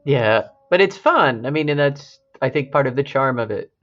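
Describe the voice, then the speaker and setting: Relaxed co-host woman on a muffled line. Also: a bass voice, normal speed. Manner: relaxed, brief backchannels and dry remarks. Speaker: podcast co-host. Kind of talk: casual podcast chat.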